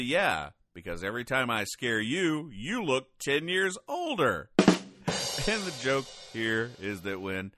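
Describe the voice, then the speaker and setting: A man hosting a comedy podcast, reading comic strips aloud in a dry, wry, deadpan way. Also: lowish voice, no room sound. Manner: dry, wry, reads characters' lines aloud.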